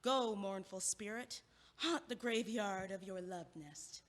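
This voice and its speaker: An actress in an audio play playing a feisty, sarcastic young woman who is sharp-tongued and combative. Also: medium pitch, takes it slowly, lightly acted. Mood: dry teasing. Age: young adult. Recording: echoey, long hallway-like reverb.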